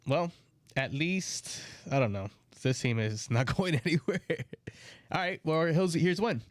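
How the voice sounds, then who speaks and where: Male co-host, mid pitch, teasing and laughing, dry close-miked sound. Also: unhurried, dry recording. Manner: teasing, laughing, moves the show along. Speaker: podcast co-host. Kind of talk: casual sports chat.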